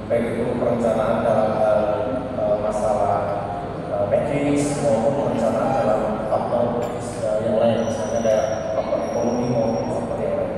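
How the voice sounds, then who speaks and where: Guest doctor, man, monotone delivery, boomy echoing hall with clear background noise. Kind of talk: measured closing remarks. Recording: very echoey hall, distant mic, noisy.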